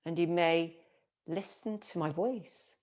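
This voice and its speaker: Slow-speaking woman guiding deep relaxation, low-pitched. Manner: soothing, gentle, unhurried with long pauses. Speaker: yoga nidra teacher. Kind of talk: guided relaxation meditation.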